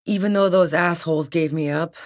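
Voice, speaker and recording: A woman's voice: lowish voice, brisk, close to an everyday voice. A woman voicing a tough, wary fugitive in a video game, blunt, wry and defiant, with profane, slangy talk. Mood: grim, resigned. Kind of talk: video game character dialogue.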